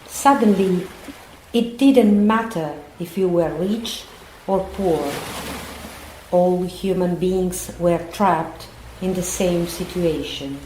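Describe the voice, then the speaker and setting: A woman's voice: on the low side, slow pace, dark tone. A woman playing a grave narrator who recounts a doomsday in scripted, dramatic narration. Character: grave female narrator recalling humanity's doom. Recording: echoey room, faint background noise.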